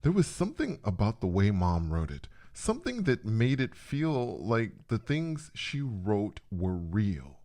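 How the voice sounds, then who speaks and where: A man narrating a scripted first-person story in a dramatic, deliberate way with pauses. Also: on the low side, wildly swooping pitch, warm tone.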